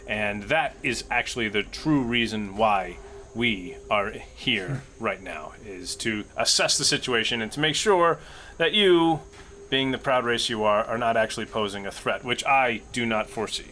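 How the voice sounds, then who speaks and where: A man playing a courteous human starship captain in a tabletop roleplay, speaking formally in halting, wordy, diplomatic phrases. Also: mid-range voice, animated, pushed and bright, slight background noise. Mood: diplomatic, careful. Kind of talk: improvised tabletop roleplay acting.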